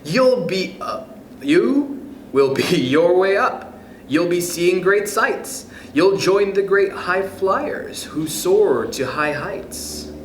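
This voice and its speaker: A young man reads a children's picture book aloud in a slow, gentle way, his fairly high voice rising and falling in a lively sing-song. He chuckles softly and turns to the young listeners with friendly asides. The room is echoey, with a faint hum behind him. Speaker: youth club storyteller.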